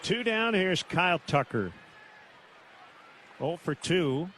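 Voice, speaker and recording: A man doing live baseball play-by-play on the radio in measured, terse calls, with pauses between them. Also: sits high, lively intonation, fairly bright tone, a little hiss or hum. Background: outdoor ballpark ambience.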